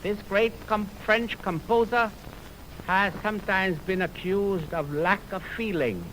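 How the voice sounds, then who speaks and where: A man announcing classical music on 1920s radio, speaking in a solemn, formal, measured way on an old aircheck recording. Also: high voice, a bit bright. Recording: noisy 1929 film-recorded radio aircheck.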